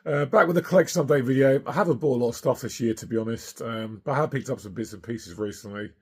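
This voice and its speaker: A middle-aged man with a hoarse, husky voice from singing, chatting casually and enthusiastically about records he has collected, with plenty of ums and uhs. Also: medium pitch, quick pace, a little mellow. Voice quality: gravelly, husky. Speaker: vinyl collector vlogger.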